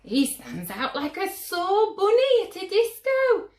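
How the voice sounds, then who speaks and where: A woman acts out a scandalized storybook bunny, crying out in high-pitched indignation. The delivery swings widely and rings in a roomy space. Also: wildly swooping pitch, slow, a little mellow, heavily acted. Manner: exclaiming, indignant. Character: crowd of scandalized bunnies, loudly disapproving.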